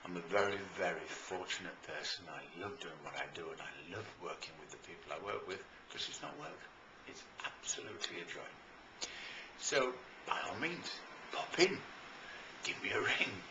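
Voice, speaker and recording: A British man speaking as a coach promoting his services in an informal, enthusiastic and emphatic talk with deliberate pauses, recorded in a roomy space. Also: deep voice, animated. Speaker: life and business coach promoting own services. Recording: roomy echo, faint background noise.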